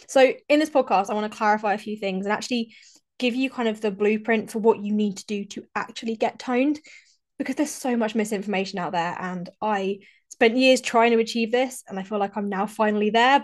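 A woman in her thirties hosts her own podcast, sounding eager and conversational. A lingering cold leaves her voice a touch congested. She often repeats or restarts phrases as she talks. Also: mid-range voice. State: slightly congested, recovering from a cold. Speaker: podcast host and fitness coach. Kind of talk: solo podcast talk.

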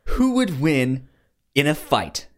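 A man chats casually as a podcast co-host at a slow pace. His pitch moves around a great deal. He is halting, often catching and repeating the starts of words. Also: big pitch swings. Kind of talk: casual film discussion.

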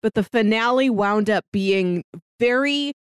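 A woman's voice: a little high, measured pace, clean tone, dry recording, studio quality. A woman co-hosting a podcast, chatting casually and conversationally as she gives her review. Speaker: podcast co-host. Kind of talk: casual chat reviewing a TV series.

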